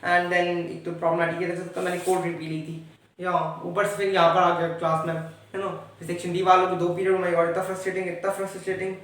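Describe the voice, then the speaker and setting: An exhausted male schoolteacher, as a sketch performer plays him, ranting on and on at his class in mixed Hindi and English. The voice sits at a middling pitch and comes from some way off the mic. The room around it is very echoey. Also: flat delivery, fast, a bit bright, distant mic, natural voice. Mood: exasperated. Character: fed-up male schoolteacher, tired. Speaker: teacher in a classroom skit. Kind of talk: comedy sketch acting.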